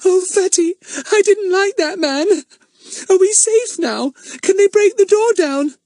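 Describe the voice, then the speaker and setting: A man's voice: very high voice, measured pace, a little mellow, dry recording, voice pushed up. A man reading a storybook voicing a frightened, tearful little girl. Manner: tearful, pleading.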